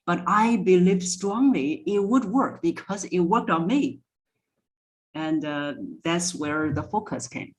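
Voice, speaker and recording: A woman's voice: lowish voice, lively intonation, slow pace, poor audio. A woman speaking as a guest voice coach, explaining her points in an interview.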